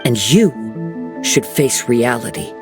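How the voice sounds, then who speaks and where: An actor playing a calm, principled young wandering samurai in a video game, speaking in a measured, earnest way. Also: male voice, medium pitch, music in the background. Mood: firm, challenging.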